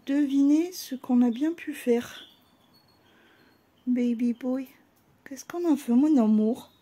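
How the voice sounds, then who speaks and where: A woman's voice: high-pitched, takes it slowly, dark tone. A woman cooing baby talk to her pets at home in a sing-song voice, full of nonsense words. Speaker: vlogger. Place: at home, indoors.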